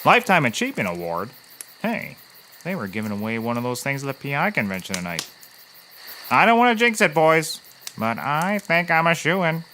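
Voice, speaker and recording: A man playing a cocky, dim private eye in a scripted comedy, brash and excitable in his delivery. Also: fairly high pitch, normal speed, slight background noise, poor audio. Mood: smug.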